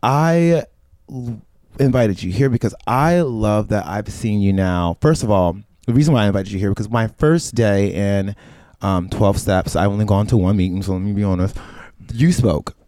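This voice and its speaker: Man, podcast host, low mellow voice, chatty, dry close sound. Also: normal speed, dry recording. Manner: chatty, animated, with playful asides. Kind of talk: casual conversational interview.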